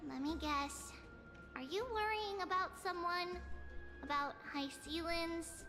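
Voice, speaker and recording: Tiny, high-pitched teasing little girl. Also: takes it slowly. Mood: teasing, caring. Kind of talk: video game voice acting. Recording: game audio under loud music.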